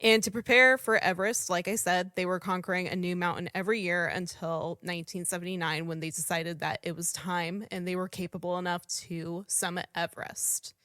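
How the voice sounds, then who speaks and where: A woman's voice: lowish voice, steady tone, a little fast, hardly any pauses, fairly bright tone, no room sound. Woman narrating a podcast, dry close mic. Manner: conversational, apologizes for mispronouncing names. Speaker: podcast host. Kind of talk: casual true-story podcast narration.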